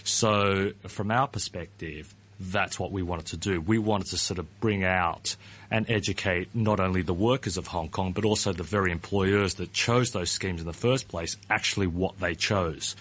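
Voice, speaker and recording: Man, middle-aged, guest on a talk show, husky, hedging delivery. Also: sits low, moderate pace, fairly bright tone. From Australia. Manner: hedging, often starts with "look", repeats words. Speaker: pension ratings firm founder. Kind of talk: radio interview guest.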